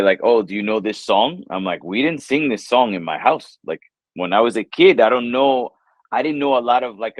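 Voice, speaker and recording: Laid-back New York guy, low voice. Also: no room sound. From New York, USA. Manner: relaxed, rambling, lots of "like" and "you know". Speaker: English teacher, guest. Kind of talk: casual interview chat.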